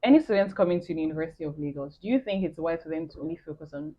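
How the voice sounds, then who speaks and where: An interviewer asking quick, casual questions and often adding short backchannels. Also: mid-range voice, slight background noise. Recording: dull lo-fi sound.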